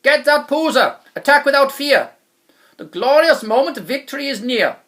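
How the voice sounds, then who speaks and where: Storybook general, male, loud and pompous, commanding and full of bluster, with big pitch swings played by a woman. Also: animated, normal speed. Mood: rousing, fierce. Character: bellowing, glory-hungry man, a blustering army general. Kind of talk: storybook character reading in rhyme.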